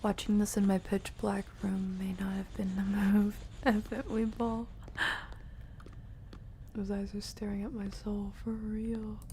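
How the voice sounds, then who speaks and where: A young woman streaming a game from her room, chatting casually and playfully, rambling and often dropping to a breathy near-whisper. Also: medium pitch, flat delivery, a little slow, dark tone, slight background noise. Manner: playful, rambling, murmuring to herself. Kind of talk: casual live stream chat while playing.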